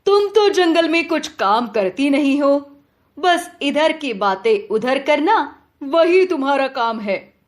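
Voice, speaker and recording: A woman voicing a stern but fair lion king in a children's moral story, speaking firmly and deliberately. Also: high voice, lively intonation. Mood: scolding. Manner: firm, admonishing, deliberate.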